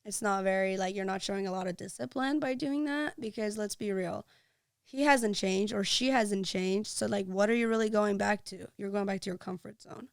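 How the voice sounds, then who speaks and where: Smooth-voiced woman podcast host. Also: mid-range voice, moderate pace, no room sound, studio quality. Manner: earnest, chatty, many "like"s. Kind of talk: casual chat.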